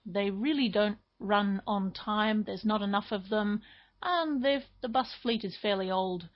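A woman's voice: medium pitch, unhurried. A woman from Australia with an Australian accent, answering in a matter-of-fact casual way. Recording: slightly muffled recording.

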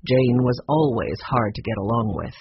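A storybook narrator voicing a kindly, dignified elderly grandfather, speaking in a slow, measured, gentle way. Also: mid-range voice, husky, dry recording, dull audio, voice pushed down. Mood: solemn.

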